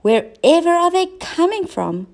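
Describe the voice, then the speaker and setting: A woman voicing a brisk, helpful, slightly bossy female cat in a children's storybook. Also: very high voice, sing-song, voice pushed up, heavily acted. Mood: curious.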